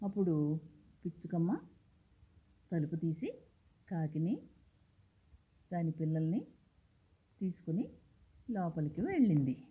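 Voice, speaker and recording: A woman's voice: low-pitched, very animated, unhurried, long pauses, phone-quality sound. A woman telling a children's story, repeating and explaining key words and putting questions to her young listeners.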